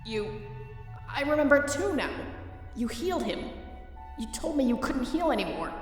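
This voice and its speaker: A woman's voice: high voice, big pitch swings, normal speed. A woman playing an innocent, hopeful young skeleton boy in a comic dub, earnest and pleading. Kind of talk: dramatic fan comic dub. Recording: distant, very echoey reverb under music.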